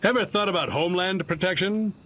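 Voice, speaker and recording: A man's voice: high-pitched, brisk, pushed and bright, slight background noise. A man with an American accent voicing a pushy 1950s anti-communist recruiter with salesman patter in a video game. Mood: persuasive. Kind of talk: video game character acting.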